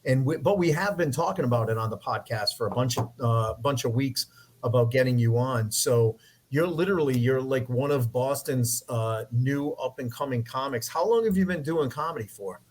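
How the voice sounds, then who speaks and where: A man's voice: medium pitch, normal speed, darkish tone. Laid-back joking male podcast host. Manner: laid-back, joking, drinking while talking. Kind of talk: casual podcast chat.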